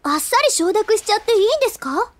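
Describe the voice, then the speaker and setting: A woman's voice: very high-pitched, lively intonation, fast talker, no echo. A woman playing an earnest, easily flustered, self-doubting teenage schoolgirl in an anime audio drama. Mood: indignant. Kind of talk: anime audio drama acting, with inner monologue.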